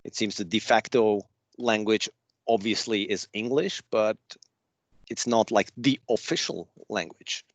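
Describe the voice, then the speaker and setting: A man from Switzerland with a Swiss accent, giving a relaxed slide presentation to a user group over a video call. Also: lowish voice, measured pace, dry recording. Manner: chatty, loose and self-deprecating. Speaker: guest speaker at a user group. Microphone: remote video call.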